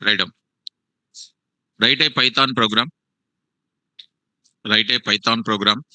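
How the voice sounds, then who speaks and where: A male programming teacher, giving a live lecture to students in a classroom and online, repeating phrases slowly for dictation. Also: on the low side, steady tone, pushed and bright, no echo, poor audio. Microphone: online meeting.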